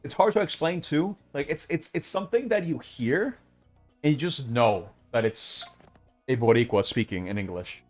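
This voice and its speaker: A man's voice: medium pitch, normal speed, faint music behind. A man from Puerto Rico whose first language is Spanish, casually commenting while playing a game and thinking aloud. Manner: relaxed, chatty, thinking aloud. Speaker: streamer.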